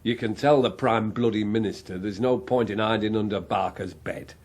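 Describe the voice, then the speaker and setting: An actor playing an angry British backbench politician in a radio drama, blunt and accusing in manner. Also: male voice, lowish voice, normal speed, close to an everyday voice.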